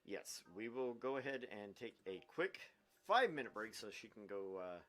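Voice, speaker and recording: Animated man co-hosting a gaming show. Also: on the low side, normal speed. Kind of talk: casual playful gaming chat.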